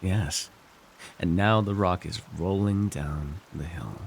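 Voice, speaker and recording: Man playing a low-voiced, wry fantasy villain lord. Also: gravelly, a little hiss or hum, exaggerated voice. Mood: weary, brooding. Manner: theatrical, halting, sighing and musing. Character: scheming young villain lord, weary, wry, persuasive. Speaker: voice actor. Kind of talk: immersive fantasy roleplay monologue, ASMR.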